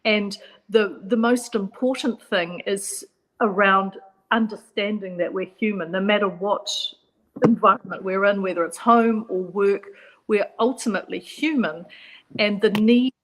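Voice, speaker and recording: A middle-aged woman with a New Zealand accent, a psychologist speaking as a guest on a radio panel in a measured, reflective way. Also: mid-range voice, rough recording. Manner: measured, reflective, repeats points for emphasis.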